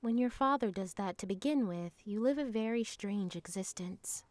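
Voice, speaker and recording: Woman as fantasy traveller, mid-pitched, close dry recording, composed, reflective, articulate, audio drama. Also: moderate pace, a little mellow, no room sound, close to an everyday voice.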